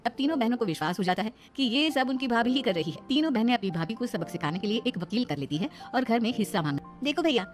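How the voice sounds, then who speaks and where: Fast-talking high-voiced woman storyteller. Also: animated, soft background music. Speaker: story narrator. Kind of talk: scripted narration of a moral story.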